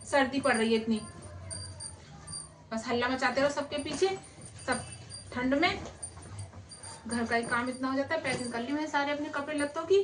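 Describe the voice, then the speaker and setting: A woman's voice: extremely high pitch, pushed and bright. A woman playing a grumbling housewife fed up with winter chores in an amateur comedy skit, complaining and nagging repetitively. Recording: echoey room, distant mic, faint noise.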